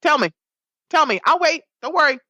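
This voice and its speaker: A woman's voice: high-pitched, lively intonation, brisk, sharp tone, dry recording. A woman who hosts her own podcast, talking as a guest in a playful, teasing way. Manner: playful and teasing, calls her friend "girl". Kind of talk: casual conversation.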